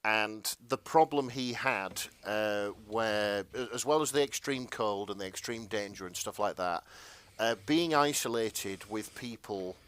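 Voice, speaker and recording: A man co-hosting a podcast in casual, rambling chat, halting and often interrupting himself as he goes. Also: lowish voice, a bit bright, smooth. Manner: hesitant, halting, self-interrupting. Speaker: podcast co-host.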